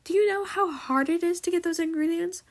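A woman's voice: sits high, expressive, slow pace, a little mellow, heavily acted. A woman voicing a stubborn young dragon princess in a story, argumentative and emphatic. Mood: heated, snippy.

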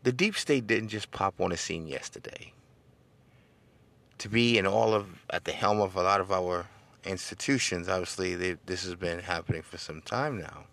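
A middle-aged man with a smooth but gravelly, fairly low voice hosts his podcast. He talks to listeners like a crowd and swells into a sermon-like, emphatic rhythm as he makes his case. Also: moderate pace, a bit bright. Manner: conversational, rising into emphatic preaching cadence; addresses listeners directly, seeks call-and-response. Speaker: podcast host. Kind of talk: casual political podcast monologue.

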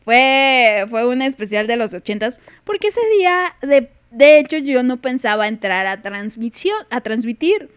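Young mexican woman, radio podcast host, high voice, teasing chatty tone, laughs a lot, dry close sound. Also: animated, measured pace, hardly any pauses, smooth, no echo. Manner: playful, teasing, laughs often. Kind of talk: casual chat on a live stream.

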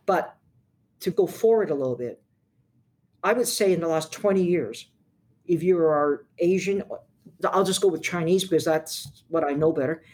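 An older woman from Hong Kong speaks as a seasoned lawyer in an interview. She answers at a measured pace, frank and direct, and lands her key points with emphasis. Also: low voice, a little mellow.

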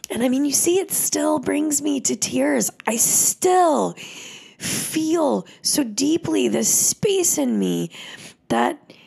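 A woman in her thirties, a yoga teacher, giving a soft, emotional spoken teaching that often drops into a whisper. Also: medium pitch, creaky, slightly rough.

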